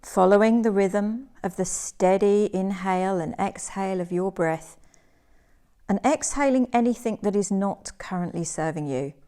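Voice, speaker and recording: Slow, mellow woman guiding relaxation. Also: mid-range voice. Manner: soothing, gentle, measured phrasing with pauses. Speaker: yoga nidra teacher. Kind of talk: guided relaxation meditation.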